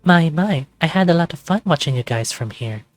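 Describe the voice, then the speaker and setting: A man's voice: a little high, animated, brisk, dry recording, voice pushed up, lightly acted. A man voicing a flirty, teasing female furry lab boss in a visual novel, with a coy, sugary manner. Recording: music playing behind close dry voice.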